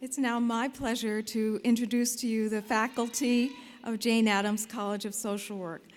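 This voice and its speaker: A woman presenting faculty at a graduation ceremony, reading names slowly and deliberately. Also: fairly high pitch, level tone, a little hiss or hum. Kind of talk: ceremonial announcing. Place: large indoor arena.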